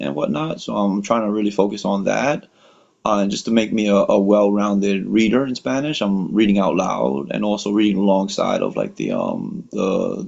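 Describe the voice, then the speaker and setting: An American-born man chatting casually on a video call, rambling with lots of fillers and relaxed slang. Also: on the low side, creaky, breathy edge. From United States. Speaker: workshop participant. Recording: dull lo-fi call audio.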